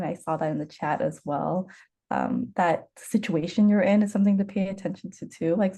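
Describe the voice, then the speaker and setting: A woman's voice: medium pitch, dark tone. A woman in a casual video-call discussion, talking thoughtfully and checking in with her listeners. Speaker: fellow in a group discussion.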